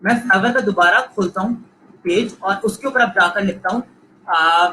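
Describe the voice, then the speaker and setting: A man's voice: high voice, normal speed, sharp tone, a little hiss or hum, low-quality recording. A man with a Pakistani Urdu accent giving a live online lecture, coaching students and repeating points while mixing in English phrases. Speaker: online course instructor.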